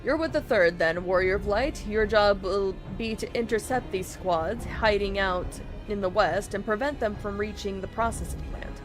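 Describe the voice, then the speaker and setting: A woman's voice: mid-range voice, moderate pace, few pauses, natural voice. A woman reading a confident, formal military commander's briefing aloud from a fantasy video game. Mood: formal, resolute. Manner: formal, orderly, declarative. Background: video game music.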